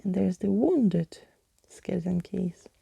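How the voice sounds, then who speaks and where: A woman reading a bedtime story in a soft ASMR whisper, close to the microphone. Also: mid-range voice, animated, slow, vocal fry, slightly rough. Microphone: close microphone, dry.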